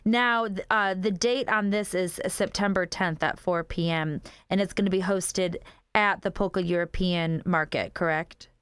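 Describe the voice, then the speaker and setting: A woman's voice: on the low side, fairly even intonation, a little slow, a bit bright, clear, resonant tone, dry recording, clean studio sound. A woman hosting a radio show, upbeat and enthusiastic as she interviews a guest.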